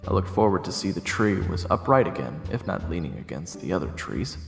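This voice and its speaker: A man narrates a scripted story in the first person. His voice is deep and gravelly. It rings with long, echoing reverb over quiet music. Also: big pitch swings, brisk. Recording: echoey, long reverb, faint music behind.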